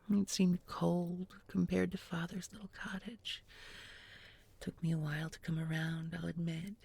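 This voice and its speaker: A woman playing a tender, bookish young village girl in an intimate audio roleplay, speaking softly and gently, often in a whisper. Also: lowish voice, heavily acted. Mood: warm, reminiscing. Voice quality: soft, breathy, often whispering. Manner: slow, gentle, murmured with lingering pauses.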